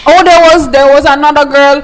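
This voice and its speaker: Young Jamaican woman, podcast co-host, high bright voice, blunt and chatty. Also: steady tone, brisk. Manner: chatty, blunt, slips into patois. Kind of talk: casual chat.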